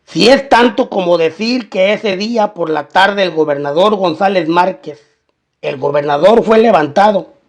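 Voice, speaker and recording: A man narrates a scripted crime story. He tells it documentary style, dropping in colloquial slang along the way. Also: high voice.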